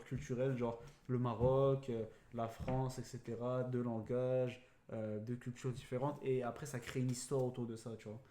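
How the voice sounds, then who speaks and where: A man's voice: medium pitch, dark tone. A young man chatting casually about films, rambling through explanations in slangy, filler-heavy speech. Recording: roomy echo, faint background noise.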